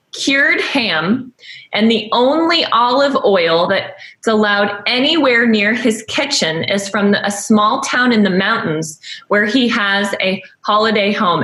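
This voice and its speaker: A woman's voice: fairly high pitch, fairly bright tone. A woman hosting a webinar, reading an upbeat introduction from notes. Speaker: webinar host. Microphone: video call. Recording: echoey room.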